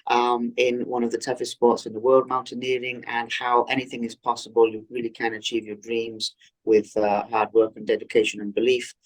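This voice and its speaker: A man hosting an interview podcast, earnest and effusive, often pausing on "um" as he builds his questions. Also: mid-range voice, monotone, low-quality recording. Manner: earnest and effusive, with frequent ums and restarts. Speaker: podcast host.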